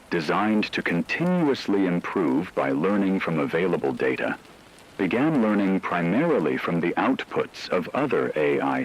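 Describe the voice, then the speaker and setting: A man playing a solemn radio news reporter, delivering a scripted crisis bulletin with husky, deliberate gravity. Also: low voice, heavily acted, rough recording. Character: grave male radio newsman reporting a worldwide crisis.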